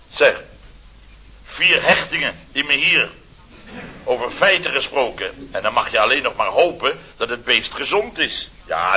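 A man's voice: mid-range voice, sharp tone, slightly rough, full character voice. An actor playing a flustered, self-important male boss in a Dutch radio comedy, stammering through pompous, wordy phrases. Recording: dull, faintly noisy vintage radio recording.

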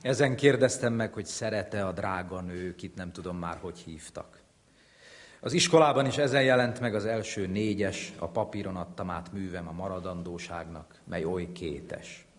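A man reciting comic rhyming verse in a wry, theatrical way, recorded with long hall reverb. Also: deep voice.

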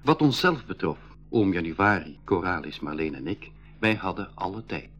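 Low husky young adventurer narrating an 80s radio play, bit muffled, music under. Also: male voice, lively intonation, moderate pace, a bit bright. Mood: reflective. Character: boy adventurer narrating, privately anxious but keen. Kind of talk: scripted first-person narration, 1980s school radio drama. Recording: dull 1980s radio recording.

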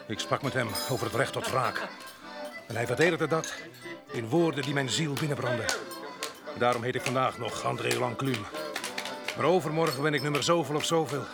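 An actor in a radio drama playing a rough, boastful fugitive labourer with a violent past, swaggering and defiant. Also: male voice, medium pitch, normal speed, pauses often, loud music behind the voice, lightly acted. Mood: grim, defiant.

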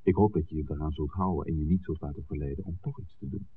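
A man's voice: rumbling low, fast talker. An actor playing a wry, cautious male filmmaker in a 1960s Dutch radio drama. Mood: cautioning. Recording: dull, noisy vintage 1969 radio recording.

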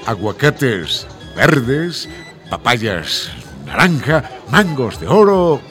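A man narrating a radio drama from a script, with expressive, descriptive, theatrical phrasing. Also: medium pitch, drawn-out words, soft background music, full character voice.

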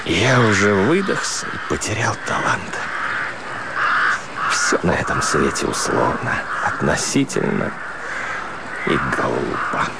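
An actor playing a despairing, self-doubting painter in a radio drama, gloomy and declamatory in manner. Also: male voice, low voice, big pitch swings, slow, gravelly, long pauses, very noisy, exaggerated voice. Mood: gloomy, disillusioned. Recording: radio play with loud music underneath. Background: loud music.

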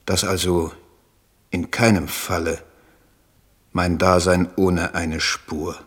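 Troubled idealist poet: low husky voice, solemn elevated delivery for radio drama. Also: male voice, level tone, slow, a bit bright, exaggerated voice. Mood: solemn resolve. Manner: solemn, declamatory, elevated diction. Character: idealistic, troubled male German poet in letters. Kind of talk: literary radio drama monologue.

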